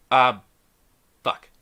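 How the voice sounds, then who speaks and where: A man narrating a tabletop role-playing game as the game master. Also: pushed and bright.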